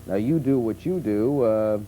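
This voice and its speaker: A man hosting a talk show, putting halting, musing questions to his guest in a conversational way. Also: on the low side, mellow. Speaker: talk show host, interviewer. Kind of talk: conversational interviewing. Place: television studio with audience. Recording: muffled 1970s television tape with hum.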